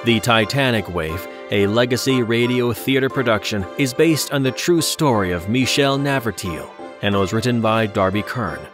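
A man's voice: mid-range voice, animated, moderate pace, hardly any pauses, over music. A man working as an announcer, reading scripted credits narration.

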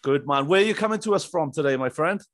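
A man's voice: fairly high pitch, animated, fast talker, fairly bright tone. A man in his thirties hosting a casual interview, with a chummy, jovial manner. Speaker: podcast host. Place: small indoor room. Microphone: close boom-arm microphone with headphones.

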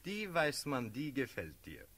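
An actor playing a down-to-earth, teasing officer and confidant in a classical radio play, speaking in a brisk, matter-of-fact way. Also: male voice, medium pitch, wildly swooping pitch, fairly bright tone, full character voice. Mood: probing, teasing. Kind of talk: classical German stage verse in a radio play.

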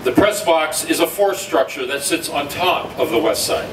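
A man giving steady explanatory remarks at a press conference. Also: on the high side, moderate pace. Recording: echoey room from far off, noisy.

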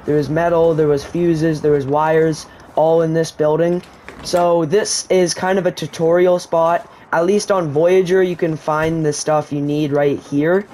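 A man's voice: a little high, little pitch movement, unhurried, a little mellow, a little hiss or hum. Man giving a game tutorial voice-over. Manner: explanatory, walks viewers through steps. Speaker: gaming YouTuber. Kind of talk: gameplay tutorial commentary.

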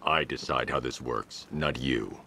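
Game vigilante: extremely low, gravel-edged voice, stern and terse, used to giving orders. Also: male voice, sharp tone, slight background noise, heavily acted. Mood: curt, firm. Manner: terse, authoritative, measured. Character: grim, commanding adult male vigilante in armor. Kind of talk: video game cutscene acting.

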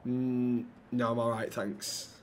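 A man's voice: medium pitch, little pitch movement, takes it slowly, a little hiss or hum. A man streaming improvised role-play in an online game, talking bluntly with plenty of swearing and exasperation.

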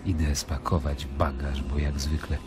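Pontius Pilate, very deep, brooding Roman governor. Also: male voice, flat delivery. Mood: tense, disdainful. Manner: brooding, measured, disdainful, dropping at times to near whisper. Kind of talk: dramatic monologue in a radio play, letter read aloud. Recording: music bed behind the voice. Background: music.

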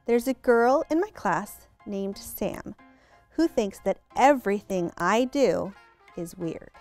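A woman voicing a sensitive young schoolgirl in a children's storybook, with expressive delivery. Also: mid-range voice, slow, faint music behind. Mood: troubled. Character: young schoolgirl, sensitive, growing cheerful and confident.

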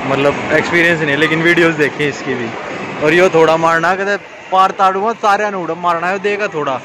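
Haryanvi guy in his 20s, playful wisecracking vlogger, bit high-pitched, recorded on a phone over heavy mall noise. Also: fairly even intonation, brisk. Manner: chatty, teasing, wisecracking. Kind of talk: casual joking vlog commentary. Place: large indoor shopping mall.

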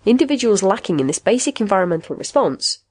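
A woman's voice: medium pitch, very animated, quick pace, darkish tone, slightly rough. A woman voicing a soothing, pedantic therapy machine in an audiobook story, speaking in formal clinical terms. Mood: stern.

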